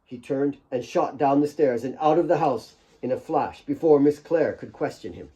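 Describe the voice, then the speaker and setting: A man reading a novel as dramatic audiobook narration, with measured, deliberate phrasing and dramatic pauses. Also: mid-range voice, mellow. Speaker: author reading own novel.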